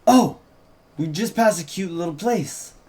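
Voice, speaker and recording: A man voicing an eager, insistent woman, a reporter's excitable companion, at a script table read. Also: high voice, unhurried, breathy edge, full character voice.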